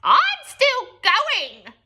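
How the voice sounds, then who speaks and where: A woman voicing a wild, screechy old grandmother who shouts gleefully and drags out her words. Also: extremely high pitch, wildly swooping pitch, drawn-out words, background noise, voice pushed up, full character voice. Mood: demanding, exuberant.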